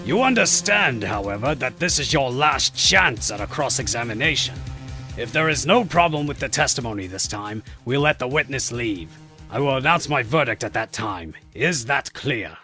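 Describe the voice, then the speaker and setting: Man playing a creaky, pompous old judge. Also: medium pitch, expressive, normal speed, bright, forceful tone, faint music behind. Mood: stern, formal. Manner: formal, pompous. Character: old, pompous, easily flustered male courtroom judge. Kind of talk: fan audio drama voice acting.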